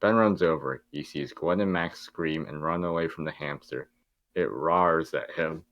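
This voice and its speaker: A man's voice: very deep voice, normal speed, a bit bright, no echo, highs cut off. A man narrating a script at a casual table read, reading out stage directions.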